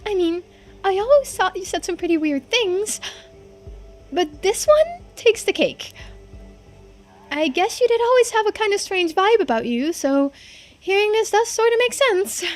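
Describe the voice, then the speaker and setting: Woman plays trusting, easily astonished teen boy for a visual novel; animated, casual, exclamatory delivery. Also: very high-pitched, measured pace, faint music behind, pitched above the performer's own voice, full character voice. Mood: amazed. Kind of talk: voiced visual novel playthrough.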